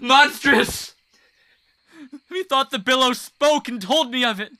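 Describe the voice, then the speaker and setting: A man's voice: very high voice, slow pace, bright, forceful tone, no echo, heavily acted. A man playing a weary, grief-stricken king in a Shakespearean radio play, speaking in a theatrical, declamatory way. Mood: horrified, grieving.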